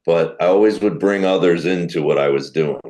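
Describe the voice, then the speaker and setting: A middle-aged man with a deep voice, a comedian telling animated stories in a podcast interview. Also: brisk, poor audio. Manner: animated storyteller who acts out quoted people. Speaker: guest comedian.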